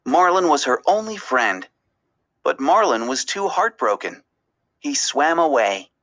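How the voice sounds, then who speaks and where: A woman narrating a children's story aloud, expressively, with deliberate, dramatic phrasing. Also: low voice, big pitch swings, fairly bright tone.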